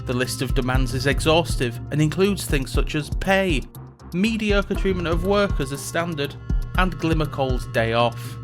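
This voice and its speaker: A man's voice: a little high, lively intonation, a little slow, music in the background. A man playing a sardonic reluctant mage host. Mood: wry. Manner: dry sarcastic asides. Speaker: podcast host. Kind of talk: scripted comedic narration.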